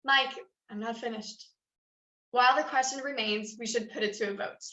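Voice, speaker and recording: A woman acting a firm, bright-voiced meeting chairwoman. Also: on the high side, steady tone. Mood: formal, firm. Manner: measured, procedural, authoritative. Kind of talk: staged reading of a play. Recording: roomy, dull lo-fi sound.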